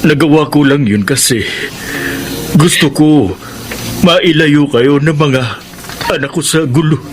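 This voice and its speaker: A man playing a remorseful father in a radio drama, speaking with a Filipino accent in a solemn, pleading, halting way. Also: on the high side, slight background noise, close to an everyday voice. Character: remorseful father returning after faking his death. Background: clear music.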